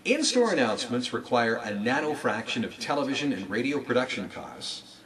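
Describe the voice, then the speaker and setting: A man's voice: mid-range voice, big pitch swings, moderate pace, far from the mic, crowd noise, rough recording. A man presenting a scripted training talk with emphatic, salesman-like phrasing. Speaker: presenter, retail announcement trainer. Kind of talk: scripted instructional narration with acted examples. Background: music.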